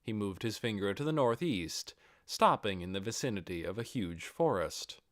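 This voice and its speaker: Low-pitched man narrating, lively intonation. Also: moderate pace, no echo, clean studio sound. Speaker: amateur audiobook narrator. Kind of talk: scripted fantasy novel narration.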